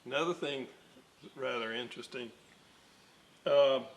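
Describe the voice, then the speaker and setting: A man's voice: mid-range voice, unhurried, slight background noise. A man casually narrating his radio restoration work at an electronics workbench, rambling with many fillers and side stories. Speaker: electronics restoration hobbyist host.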